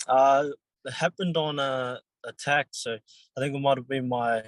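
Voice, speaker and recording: Flat-voiced New Zealand man, halting. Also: medium pitch, no echo, low-quality recording. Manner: halting, many ums and restarts. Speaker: rugby league player, guest. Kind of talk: casual interview answers.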